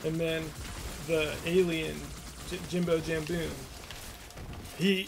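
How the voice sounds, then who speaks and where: A man's voice: fairly high pitch, flat delivery, slow pace, gravelly. A young man hosting a livestream, chatting in a rambling, self-deprecating way while playing a game. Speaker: livestream host and voice actor. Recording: noisy livestream audio.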